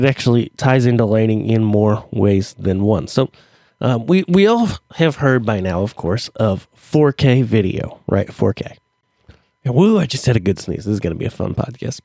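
A man hosting his own podcast in a chatty, rambling way, full of fillers, his voice stuffy and hoarse from allergies. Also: mid-range voice, a little mellow, dry recording, studio quality. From Middle Tennessee. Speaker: podcast host. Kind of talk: solo podcast talk.

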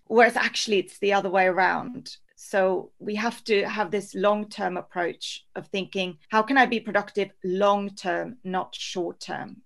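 A woman's voice: medium pitch, fairly bright tone. A woman from Norway, giving earnest, reflective interview answers as a life coach. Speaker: podcast guest, life coach.

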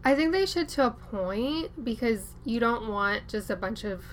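A young woman hosting a podcast interview, talking in a casual, relaxed way and filling her speech with "like". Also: fairly high pitch, measured pace, slight background noise. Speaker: podcast host.

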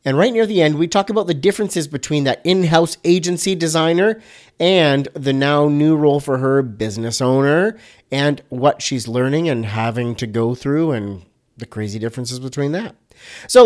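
A man's voice: a little high, lively intonation, moderate pace, darkish tone. A man hosting an interview podcast, playful and jokey, with self-deprecating asides. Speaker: podcast host. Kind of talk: casual interview, upbeat show intro.

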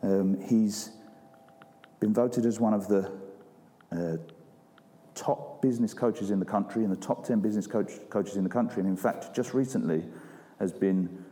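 A man's voice: on the low side, normal speed, long pauses, dark tone. A man hosting an event, introducing a speaker with frequent hesitations. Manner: hesitant, with many ums and uhs. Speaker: event host. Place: large room with live audience. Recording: long hall reverb.

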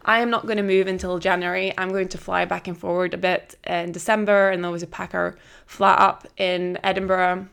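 Podcast host, thirties, female; gravelly fry, casual and excitable, heavy on "like". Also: on the low side, normal speed. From Norway. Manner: chatty, frequent "like" fillers, excited retelling. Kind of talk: casual solo podcast chat.

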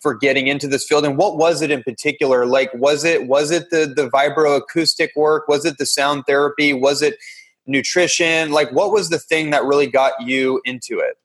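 A man's voice: on the high side, fairly even intonation, normal speed, fairly bright tone. A man hosting a casual interview, asking his guest questions and rephrasing them as he goes. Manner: hesitant, rephrasing questions with fillers. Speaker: podcast host.

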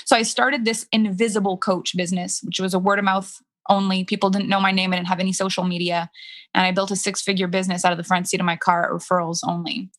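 A woman in her thirties with a French Canadian accent, whose first language is French, talks through her story with candid animation. Her voice sits at a middle pitch and keeps a loose, conversational flow, with "like" scattered through. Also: level tone, fast talker, a bit bright. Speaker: business coach. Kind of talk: casual interview chat.

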